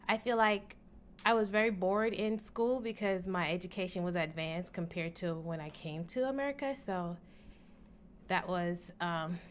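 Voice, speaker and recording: A woman's voice: on the low side, slight background noise. A woman, answering interview questions in a studio in an easygoing, reflective way. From Jamaica. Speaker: interview guest.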